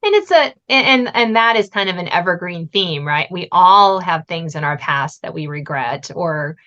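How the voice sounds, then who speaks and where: A woman's voice: lowish voice, animated, moderate pace, fairly bright tone. A woman hosting a podcast, speaking in a conversational interview style. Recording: muffled, band-limited audio.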